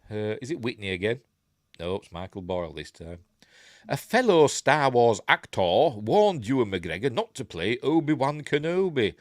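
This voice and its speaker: A lowish, stuffy-nosed older man with a broad Yorkshire accent hosts a casual news live stream. He reads articles with plenty of rise and fall, breaking off for dry, blunt remarks. His voice sits close and dry on the mic, with no room sound. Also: no echo. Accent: Yorkshire English. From Yorkshire, England. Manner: reads articles aloud with wry, blunt off-the-cuff asides. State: congested, stuffy nose. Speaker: host of a geek news stream.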